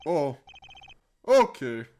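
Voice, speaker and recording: A woman's voice: sits low, sing-song, warm tone, a little hiss or hum, heavily acted. A woman voicing a boastful, easily flustered male witness in a courtroom game fan dub, pompous and prone to stammering. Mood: nervous, flustered. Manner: halting, stammering, pompous wording.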